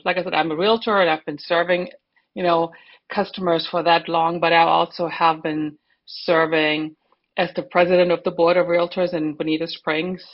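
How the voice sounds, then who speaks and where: A woman's voice: on the low side, highs cut off. A middle-aged woman with a German accent, a real estate broker chatting as a podcast guest, emphatic and full of fillers. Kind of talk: casual interview chat.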